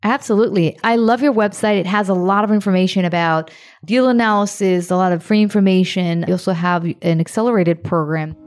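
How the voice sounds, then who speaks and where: A woman's voice: medium pitch, brisk, darkish tone, smooth. A woman hosting a podcast, introducing guests and asking questions in a friendly, upbeat way. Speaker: podcast host.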